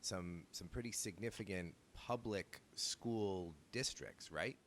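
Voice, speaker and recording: A man's voice: deep voice, slow pace, fairly bright tone, a little hiss or hum. A man hosting a podcast, introducing his guest in a friendly way with some filler hesitations. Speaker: podcast host. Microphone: video call.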